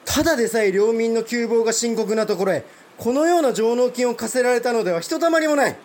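Casting: proud, outspoken samurai, notably high voice, formal archaic delivery, period audio drama, faint background noise. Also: male voice, fast, darkish tone. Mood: indignant. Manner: formal archaic warrior speech, forthright. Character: blunt, proud provincial samurai lord, openly indignant. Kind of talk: Japanese historical audio drama.

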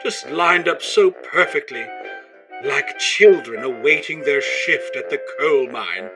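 An actor playing a jolly, pompous old-time English radio host, prim and flowery in a mannered comedy of manners. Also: male voice, mid-range voice, expressive, slow, over music, rough recording. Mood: chatty, pompous.

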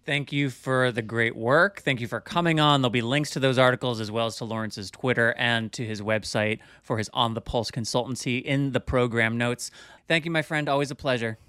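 A man's voice: mid-range voice, steady tone, fairly quick, pushed and bright, clear, resonant tone, no echo, clean studio sound. A man hosting a podcast, joking lightly and thanking guests as he wraps up the episode. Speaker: podcast host. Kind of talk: casual podcast talk.

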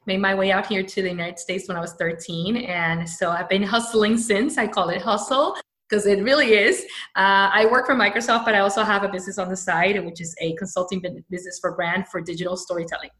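A woman's voice: mid-range voice, fairly even intonation, fairly bright tone. Woman from venezuela chatting on a podcast, upbeat, lots of uhs, roomy echo. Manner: upbeat, talks quickly with fillers and restarts. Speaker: guest, brand storyteller. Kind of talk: podcast interview. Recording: echoey room.

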